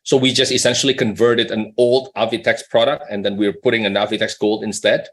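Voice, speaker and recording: A man's voice: on the low side, steady tone, fairly quick, a bit bright. A man speaking as a company executive on an earnings call, explaining in a conversational way with rhetorical questions and check-ins with the listener.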